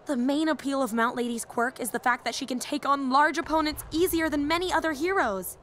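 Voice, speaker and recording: A woman's voice: high-pitched, fairly quick, fairly bright tone, slight background noise. A woman voicing a young, nerdy female hero fan and teacher in an audio roleplay, eager, rambling and easily flustered. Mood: fascinated, analytical.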